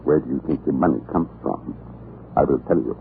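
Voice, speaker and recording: An actor playing a cruel, scheming prison-island commandant in an old radio drama, gravelly, deliberate and menacing. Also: male voice. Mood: grim scheming. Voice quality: very deep, gravelly. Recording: muffled, noisy old radio recording.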